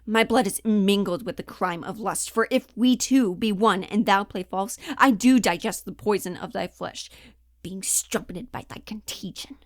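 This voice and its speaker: A woman's voice: medium pitch, normal speed, no echo, studio quality, natural voice. Woman acting a scorned, passionate Shakespearean wife, declamatory. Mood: furious, scornful. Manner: declamatory, dramatic, archaic verse delivery. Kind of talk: theatrical classical stage monologue.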